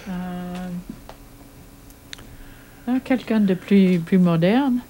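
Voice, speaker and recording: A woman's voice: lowish voice, very slow, warm tone, slight background noise, rough recording. A woman from Michigan hosting a casual radio talk show, steering the conversation. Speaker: radio host.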